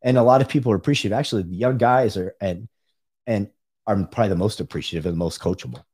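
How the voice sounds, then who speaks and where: Fast-talking, low, mellow middle-aged man. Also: breathy edge. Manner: emphatic storytelling, frequent "right". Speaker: podcast guest, bodybuilder. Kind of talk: casual conversation.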